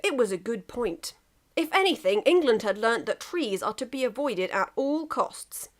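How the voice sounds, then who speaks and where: A woman narrating a comic piece of fan fiction in an expressive, emphatic storytelling manner. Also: on the high side, moderate pace.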